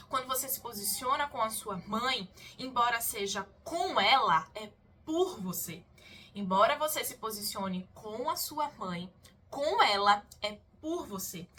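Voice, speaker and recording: A woman's voice: fairly high pitch, bright, forceful tone, a little hiss or hum. A Brazilian woman coaching women in an emphatic motivational lesson, full of repeated questions and lists. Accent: Brazilian Portuguese.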